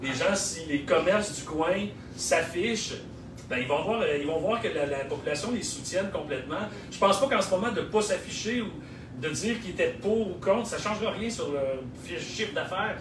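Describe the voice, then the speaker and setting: Québécois organizer speaking to a crowd, somewhat high voice, halting; far from the mic in a roomy, noisy space. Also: male voice, lively intonation. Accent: Québécois French. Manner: hesitant, lots of fillers. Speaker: event organizer and filmmaker. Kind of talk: speech at a local launch event. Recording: echoey room, heard from a distance, noisy.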